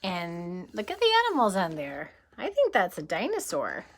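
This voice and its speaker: A kindergarten teacher, a woman, reading a picture book aloud to young children, gently and expressively, pausing to ask them questions. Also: on the low side, huge pitch swings.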